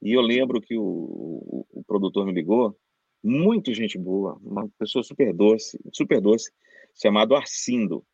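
A man's voice: lowish voice, expressive, measured pace, vocal fry, darkish tone, breathy edge, dry recording. A Brazilian man, a voice-over announcer, telling stories as a guest in a casual interview, chatty and full of restarts. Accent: Brazilian Portuguese. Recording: dull dry lo-fi sound.

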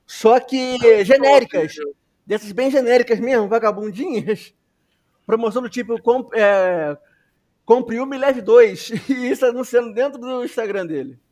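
A man co-host with a high voice, chatting loosely. He sounds laid-back and slangy, brushing things off in a dismissive way. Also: moderate pace, darkish tone. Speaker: podcast co-host. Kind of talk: casual chat.

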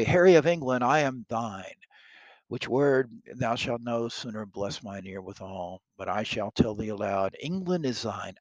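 A man's voice: medium pitch, no room sound, lightly acted, rough recording. A man reading the part of a bold young English king wooing a princess, declaiming the old verse earnestly.

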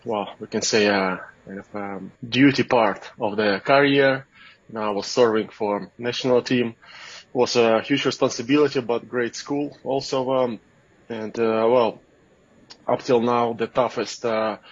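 A man with a Russian accent speaks in a fairly low voice as an interview guest. He is hesitant, often pausing to find the next word. The sound is slightly dull and lo-fi. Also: no echo. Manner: halting, hesitant, searching for words. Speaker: guest, kettlebell athlete and coach. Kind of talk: interview answers, casual talk.

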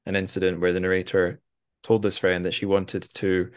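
Man, deep voice, podcast host, casual book talk, searching for words. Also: little pitch movement, normal speed, clear, resonant tone.

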